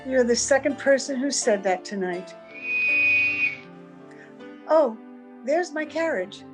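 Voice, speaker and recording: An actress playing a gentle, wistful and strange young woman in an old-time radio drama, tender and earnest. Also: high voice, expressive, slow pace, faint music behind, poor audio.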